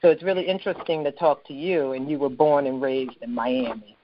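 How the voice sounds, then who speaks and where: A woman's voice: rumbling low, steady tone, brisk, highs cut off, slight background noise. A woman from Philadelphia hosting an interview, friendly and talkative as she asks questions. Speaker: show host. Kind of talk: casual interview chat.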